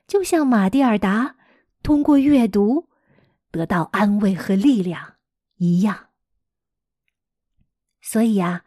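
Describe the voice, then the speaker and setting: A woman's voice: fairly high pitch, darkish tone, no room sound, studio quality. A woman hosting a children's storytelling programme, reading scripted material expressively and talking directly to her listeners with dramatic emphasis. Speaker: host and teacher of a children's storytelling podcast.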